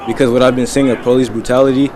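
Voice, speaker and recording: A man's voice: medium pitch, level tone, racing, darkish tone. A young man giving casual interview answers outdoors. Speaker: news interviewee.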